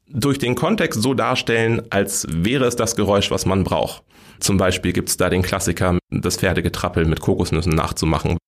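North guy, really low gravelly voice, explaining stuff casually in an interview. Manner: informal, step-by-step explaining. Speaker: radio play workshop leader, interview guest.

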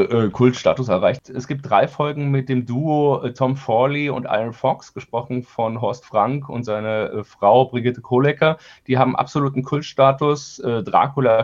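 A man with a medium-pitched voice talks as an interview guest. He explains his views frankly but haltingly, in a casual tone. The recording is slightly dull and lacks top end. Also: a little mellow. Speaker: audio drama producer being interviewed. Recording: slightly dull, band-limited audio.

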